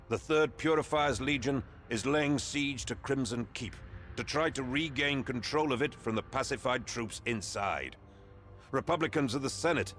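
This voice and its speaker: Guy doing a solemn fantasy war leader, formal n commanding, mid pitch, bit of music behind. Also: fairly bright tone, natural voice. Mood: grave. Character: solemn, resolute male leader of holy warriors. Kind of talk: video game voice acting. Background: game music.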